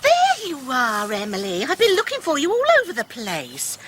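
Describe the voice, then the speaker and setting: A wry, protective English mum in radio drama. She speaks high with very lively intonation, over clear background noise. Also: normal speed. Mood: brisk. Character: brisk, wry divorced mother, protective of her daughter. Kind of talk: naturalistic radio drama acting.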